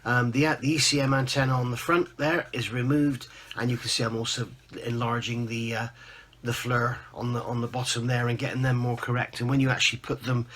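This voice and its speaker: Guy with a croaky raspy voice but kinda bright, chatty hobby vlog update, informal rambly show and tell. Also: medium pitch. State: croaky, recovering from losing his voice. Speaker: host of a scale modelling channel. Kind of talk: casual hobby update to camera.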